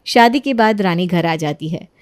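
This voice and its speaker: A woman's voice: lowish voice, expressive, moderate pace, no room sound, clean studio sound. A woman giving scripted narration for a family moral story.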